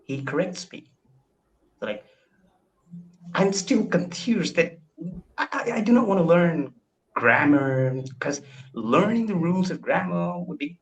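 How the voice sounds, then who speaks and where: A man's voice: high-pitched, rough recording. A young man with an Indian accent, practicing casual English conversation on a video call, often hesitating and repeating words as he starts sentences. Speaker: English learner.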